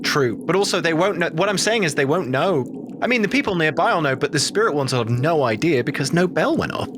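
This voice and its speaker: A man's voice: fairly high pitch, lively intonation, fast, background noise. A man taking part in a tabletop roleplaying game as a player, chatting casually in and out of character. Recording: clear background music under the voice. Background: background music.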